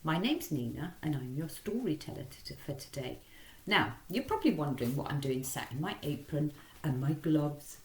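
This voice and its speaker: A woman reading a rhyming children's story as storyteller, in an animated, theatrical way. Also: low-pitched, normal speed. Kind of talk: expressive rhyming storybook narration. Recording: echoey room.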